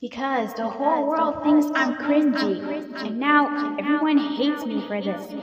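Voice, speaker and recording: A woman voicing a vengeful, mocking female cartoon cat villain with a taunting, drawn-out delivery. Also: high-pitched. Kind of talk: amateur animated villain drama. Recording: long reverb, music behind.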